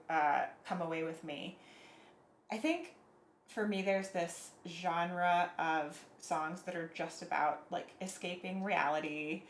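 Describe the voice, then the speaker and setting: Soft-spoken woman, lowish voice. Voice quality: soft, airy voice. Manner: reflective, thoughtful, with frequent fillers. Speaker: singer-songwriter guest. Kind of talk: casual interview chat. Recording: echoey room, faint noise.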